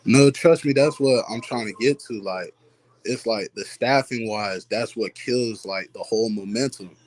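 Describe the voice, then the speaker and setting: Young male student guest, slightly low voice, hesitant and filler-heavy. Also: a little slow, darkish tone, rough recording. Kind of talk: casual chat.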